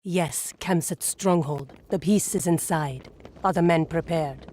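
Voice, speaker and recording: An actor playing a stern, proud warrior leader giving grave orders in a video game cutscene. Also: male voice, on the high side, unhurried, darkish tone. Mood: resolute.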